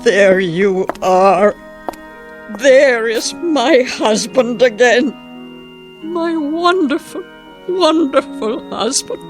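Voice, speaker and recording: A gentle elderly wife in a vintage drama, speaking very slowly and tenderly. Her voice is wistful and rises and falls widely, with faint music behind. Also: fairly high pitch, expressive. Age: older. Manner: tender, deliberate, emotional. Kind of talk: vintage television drama acting.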